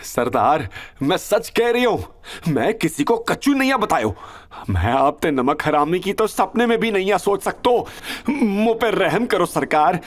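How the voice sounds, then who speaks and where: A man's voice: high-pitched, very animated, unhurried, pitched above the performer's own voice. A man voicing a terrified henchman who pleads for mercy in rustic dialect. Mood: terrified pleading. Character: terrified henchman begging his bandit boss for mercy.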